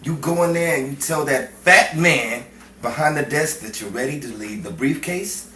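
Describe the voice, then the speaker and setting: A man playing a scheming, pushy small-time crook in a 1950s crime melodrama, talking in halting, insistent persuasion. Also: fairly high pitch, pushed and bright. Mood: menacing. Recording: echoey, distant, noisy room recording.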